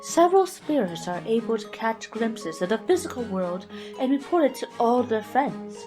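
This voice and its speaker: A woman's voice: a little high, sing-song, moderate pace, over music. A woman playing a composed, confident spirit testifying in an amateur audio drama. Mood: matter-of-fact. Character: knowing female spirit witness, composed and confident.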